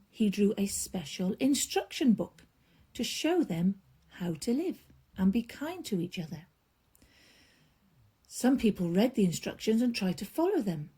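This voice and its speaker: A woman storyteller reading a children's bedtime story aloud, expressively, with drawn-out emphasis and hushed drops. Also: mid-range voice, breathy edge.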